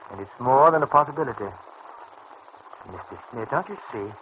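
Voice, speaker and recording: A man acting a suave, scheming bootlegging boss, bright-voiced. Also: medium pitch, expressive, normal speed, lo-fi sound, close to an everyday voice. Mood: persuasive. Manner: suave, formal, condescending politeness. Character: smooth, scheming male bootlegging boss, coolly double-crossing. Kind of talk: old radio serial acting. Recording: faintly noisy old radio recording.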